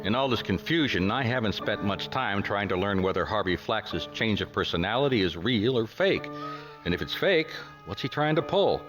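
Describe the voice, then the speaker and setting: An actor with an American accent playing a wry, put-upon 1950s private detective in a radio drama, plain-spoken and a little hesitant. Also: male voice, on the low side, lively intonation, normal speed, over music, natural voice. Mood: wry narration. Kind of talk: radio drama acting with first-person detective narration.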